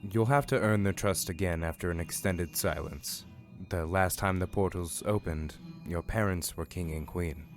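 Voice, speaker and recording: A man playing a calm, formal advisor at a royal court in a fantasy fandub, speaking in a measured, instructive way. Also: low-pitched, faint music behind. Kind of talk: fandub fantasy drama acting.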